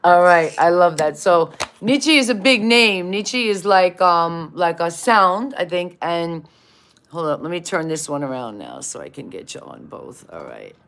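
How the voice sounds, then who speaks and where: A woman's voice: fairly low pitch, very animated, measured pace, a bit bright, echoey room. Chatty woman hosting a live stream. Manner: chatty, rambling, apologetic, laughs often. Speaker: host. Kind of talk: casual live-stream interview. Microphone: live-stream video call.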